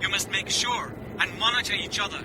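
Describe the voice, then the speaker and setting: Man acting a high-voiced, authoritative flight controller, noisy background. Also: natural voice. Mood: firm, instructing. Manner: deliberate, emphatic, formal. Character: authoritative male flight controller giving careful emergency instructions. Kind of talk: radio drama acting. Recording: noisy, with aircraft rumble.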